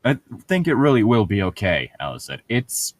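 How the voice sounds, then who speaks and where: A man with a fairly low voice speaks in a dry, close recording. He chats casually as a co-host and reads from a novel aloud, with a wry, opinionated and sometimes profane streak. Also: huge pitch swings, moderate pace, no room sound. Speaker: co-host of a book read-along show. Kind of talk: casual banter mixed with reading a novel aloud.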